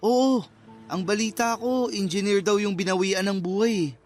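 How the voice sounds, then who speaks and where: An actor plays an awkward young man in a radio drama. His voice is high for a man, earnest and full of apology. Light music plays behind him. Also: fairly even intonation, normal speed, natural voice. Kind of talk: Filipino radio drama acting.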